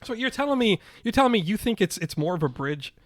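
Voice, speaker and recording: A man around forty in relaxed podcast banter, playing the calmer straight man and teasing his friend. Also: high-pitched, quick pace, no room sound, studio quality. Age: 40s. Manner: easygoing, plays straight man, mild teasing. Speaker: podcast co-host. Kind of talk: casual banter.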